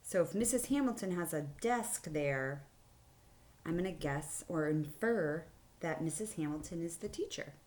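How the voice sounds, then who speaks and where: A woman's voice: low-pitched, lively intonation, moderate pace, darkish tone. A woman teacher giving a read-aloud lesson to children, explaining instructively and thinking aloud with questions to her listeners. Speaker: elementary school teacher. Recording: clearly audible hum and background noise.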